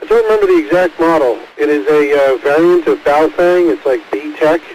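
A man's voice: a little high, very animated, normal speed, a little mellow. A man calling in casually to an amateur radio net over a two-way radio transmission. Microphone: mobile two-way radio mic. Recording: hissy, noisy ham radio transmission.

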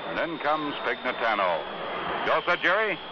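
A man's voice: mid-range voice, sing-song, normal speed, highs cut off, very noisy. An American man, a baseball broadcaster, doing a chatty 1950s radio sponsor read. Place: ballpark broadcast booth.

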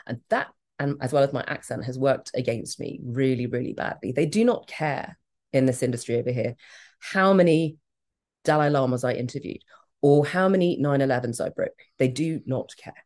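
A woman's voice: extremely low pitch, darkish tone. A middle-aged woman with a British accent, talking frankly and emphatically as a guest in an interview. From Australia. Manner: emphatic, frank, quick to laugh. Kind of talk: candid conversational interview.

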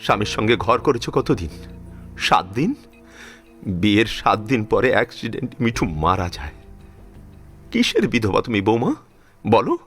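A man's voice: lowish voice, big pitch swings, normal speed, pauses often, fairly bright tone, soft background music. Man acting an emphatic, swooping older Bengali father-in-law. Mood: indignant. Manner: emphatic, emotional, repeats phrases. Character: protective, grieving older Bengali father-in-law, indignant at tradition. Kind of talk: Bengali audio drama acting.

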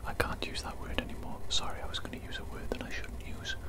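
A man's voice: very high voice, monotone. Guy doing an evil doctor for asmr, all whispered right up on the mic, snooty and kinda mean to his patient. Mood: scornful, dismissive. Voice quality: breathy whisper. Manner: deliberate, repetitive, mock-polite, disdainful. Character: dismissive, condescending evil male doctor examining a patient. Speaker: ASMR performer. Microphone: close microphone.

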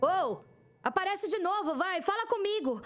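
A woman's voice: extremely high pitch, expressive, normal speed, a little hiss or hum. A woman playing a frantic young hacker in an audio drama, agitated, raising her voice and stammering. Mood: desperate, agitated. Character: frantic young female hacker demanding answers.

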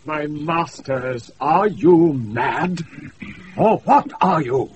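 Slow, pompous British steward. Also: male voice, fairly high pitch, animated, dull audio. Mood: indignant, scolding. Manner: pompous, indignant. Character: pompous, scolding, puritanical household steward. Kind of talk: British Shakespeare radio play acting. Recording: hissy off-air radio recording.

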